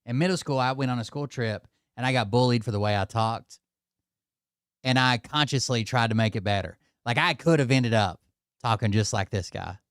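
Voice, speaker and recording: A man's voice: medium pitch, moderate pace, fairly bright tone, clear, resonant tone, no echo, clean studio sound. A man from Alberta with an Albertan Canadian accent, giving casual, mocking reaction commentary. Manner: mocking, laughing, chatty. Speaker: commentary streamer.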